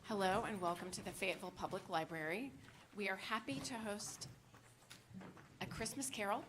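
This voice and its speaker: A woman hosting a library event, reading a scripted welcome to an audience. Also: fairly low pitch. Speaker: library host introducing a show. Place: live public event in a library. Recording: clear background noise, clicks.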